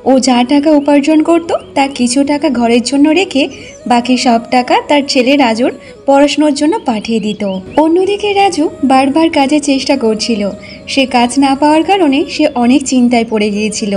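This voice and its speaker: High-pitched woman narrating a cartoon story. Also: fairly quick, a little mellow, faint music behind.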